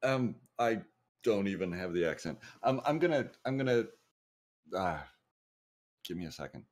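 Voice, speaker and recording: A man's voice: fairly low pitch, expressive, normal speed. A man playing a tabletop game, talking hesitantly and a bit flustered. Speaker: player. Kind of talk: casual game chat.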